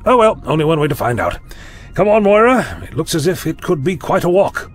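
Breezy, fussy gentleman explorer played by a man, chatty, animated intonation, sci-fi audio drama. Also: a little high, fairly quick, faint music behind. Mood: curious. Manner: musing, chatty, whimsical. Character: curious, breezy, slightly fussy male time-travelling adventurer.

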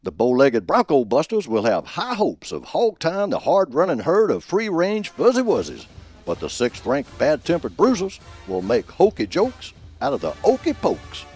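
Guy doing a folksy old football professor radio bit, husky voice, super swoopy delivery, music underneath. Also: on the high side, huge pitch swings, normal speed, darkish tone. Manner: folksy, grandiloquent alliterative delivery. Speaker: host of a college football prediction show. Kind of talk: scripted comic radio commentary.

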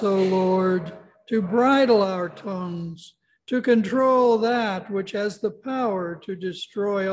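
A man in his sixties, a pastor leading worship and prayer in a church, with measured, solemn phrasing. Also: high voice, poor audio. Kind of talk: liturgical prayer and service leading. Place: church sanctuary.

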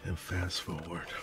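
A middle-aged man whispering softly in an ASMR roleplay, reminiscing with gentle chuckles. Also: low-pitched, heavy background noise. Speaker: ASMR creator. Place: dim room at home.

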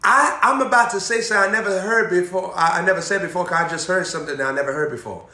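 A young male preacher delivers an animated sermon, repeating key phrases and quizzing his congregation. His voice sits high and sharp. The sound carries the echo of a bare indoor room. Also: rapid-fire. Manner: animated, repetitive preaching with call-and-response questions, moving around. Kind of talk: energetic sermon. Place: indoor room in front of a banner backdrop. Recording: echoey room.

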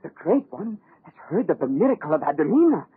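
High, husky, flustered messenger. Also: male voice, big pitch swings, brisk, full character voice. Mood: excited, flustered. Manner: stammering, repetitive, agitated. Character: excitable, flustered male messenger bursting with news. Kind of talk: 1930s radio horror acting. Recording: tinny 1930s radio recording.

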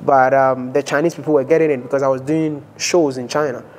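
A man's voice: medium pitch, brisk, a little hiss or hum. A young man from Ghana with a Ghanaian accent, telling his story animatedly as an interview guest at a restaurant table. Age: young adult. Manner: animated storytelling, gestures while talking. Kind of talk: casual interview chat. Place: indoor dining room at a restaurant table.

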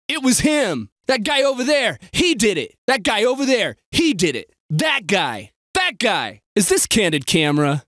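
A man's voice: fairly high pitch, fairly bright tone, no echo, studio quality. An actor voicing a jumpy, crude, sarcastic male bystander in a video game, with slangy, over-the-top delivery. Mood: accusing. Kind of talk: exaggerated game bark lines, each repeated.

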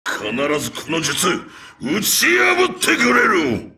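An actor playing a ruthless, arrogant old martial-arts master in a fighting game, barking taunts and battle cries in a gravelly, husky voice. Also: male voice, wildly swooping pitch, slow, bright, forceful tone, raised voice, full character voice. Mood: stern. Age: older. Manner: barks short commands and taunts, booming laugh. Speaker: video game character.

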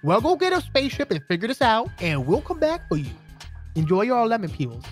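A male gamer improvises goofy banter over gameplay. His pitch is high for a man and jumps around a lot, theatrical in delivery, with faint music behind him. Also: wildly swooping pitch, fairly quick. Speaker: gaming video co-host.

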